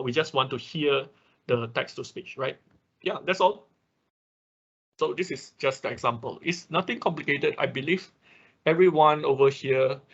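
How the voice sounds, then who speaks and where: A man with a husky voice teaching a live online programming class informally, often repeating words and checking in as he explains. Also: medium pitch, pushed and bright, muffled recording. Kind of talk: informal live technical tutorial, explaining code.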